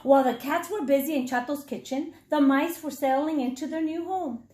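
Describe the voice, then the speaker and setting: A woman with a high voice reads a story aloud for children. She is expressive and talks straight to her young listeners like a teacher. The room gives her voice a clear echo. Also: moderate pace. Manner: expressive, addresses children directly. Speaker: reading intervention teacher. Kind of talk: children's read-aloud narration with questions to listeners. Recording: echoey room sound.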